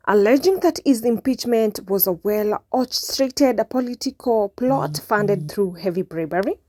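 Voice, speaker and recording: A woman's voice: mid-range voice, dark tone, no echo. A woman reading scripted news narration in a formal manner, occasionally stumbling over the script.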